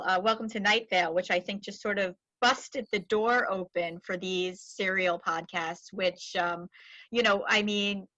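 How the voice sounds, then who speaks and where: A woman's voice: mid-range voice, bright, forceful tone, no room sound, dull audio. A woman hosting a podcast, interviewing a guest in a casual, chatty style. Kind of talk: casual chat.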